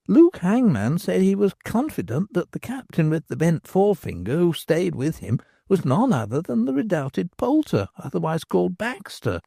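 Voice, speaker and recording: A woman's voice: on the low side, vocal fry, warm tone, no echo, studio quality, voice pushed up, heavily acted. A reader voicing a grave young woman who explains things in a formal, careful way.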